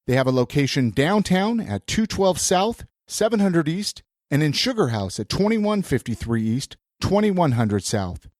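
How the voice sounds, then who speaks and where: A man's voice: fairly high pitch, sing-song, unhurried, few pauses, darkish tone, no echo, clean studio sound. An American man hosting a podcast in a casual, chatty style, slightly congested from a head cold. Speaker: podcast host. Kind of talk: casual podcast chat and sponsor reads.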